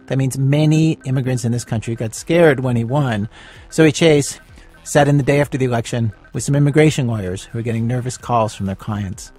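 A man hosting a radio show, reading a segment introduction in an even, conversational way. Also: mid-range voice, a little fast, soft background music. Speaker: radio host.

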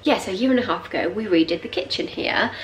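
A woman's voice: mid-range voice, animated, fast talker, a little hiss or hum. A young British woman with a stuffy head cold, chatting to camera in a casual home vlog, rambling with lots of fillers, filmed at arm's length in her kitchen. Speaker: lifestyle vlogger. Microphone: handheld camera at arm's length.

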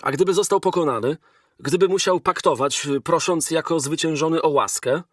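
A man voicing a male robot inventor who speaks to a king in a measured, formal, earnest way. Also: on the high side, fairly bright tone, no echo, clean studio sound, distant mic. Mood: persuasive.

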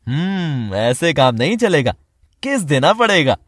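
A man voicing a playful, reassuring young husband in an animated family drama. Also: on the high side, measured pace, dry recording, studio quality. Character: affectionate young husband, easygoing son.

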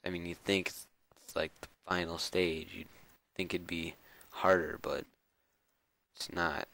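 A young man with a cold and a raspy, scratchy voice, mumbling casual commentary to himself while playing a game. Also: deep voice, level tone, a little slow, pushed and bright. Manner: mumbling, self-talk with frequent fillers and repeats. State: hoarse with a cold. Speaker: gameplay video creator.

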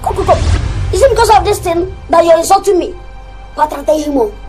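A performer playing a cheeky young man with a pitched-up voice who bickers, boasts and pleads. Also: fairly quick, darkish tone, soft background music. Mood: defiant. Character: cheeky young man chasing his brother's girlfriend.

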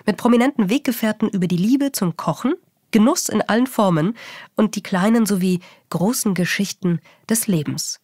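A woman with a medium-pitched voice reads a scripted introduction as a presenter. Her delivery is polished and articulate, and the studio sound is very dry. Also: a little mellow, dry recording. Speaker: podcast co-host, presenter. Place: podcast studio, indoors.

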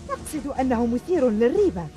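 An actress playing a fiery, distrustful young woman fighter with a sharp, challenging manner in a dubbed cartoon. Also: high-pitched, sing-song, brisk. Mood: suspicious. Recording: loud music behind the voice.

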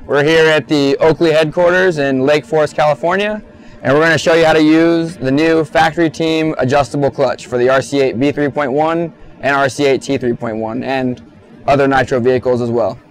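A young man giving a clear, steady, scripted product tutorial as a voice-over. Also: fairly high pitch, brisk. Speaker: product demonstrator.

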